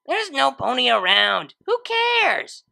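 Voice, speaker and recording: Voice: sits high, wildly swooping pitch, slow pace, gravelly, bright, forceful tone, pitched above the performer's own voice, exaggerated voice. A narrator voicing a laid-back, teasing young female unicorn DJ in a story, with casual, slangy phrasing. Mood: playful teasing.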